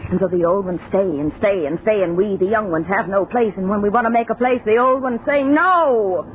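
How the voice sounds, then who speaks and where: A woman's voice: medium pitch, fairly quick, lightly acted. Female actor as ageless young woman, bitter and solemn, theatrical vintage radio horror, narrow muffled sound with faint noise. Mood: bitter, resolute. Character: discontented four-hundred-year-old immortal young woman of the future. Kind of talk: old-time radio horror drama acting. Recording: muffled, noisy old radio recording.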